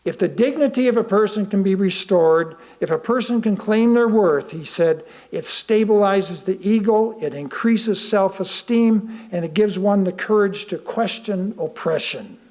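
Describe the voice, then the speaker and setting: Man, high voice, very slow delivery, scripted sermon, deliberate emphatic pauses. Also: a little mellow. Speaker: lay reader of a sermon. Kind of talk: sermon read aloud from a script.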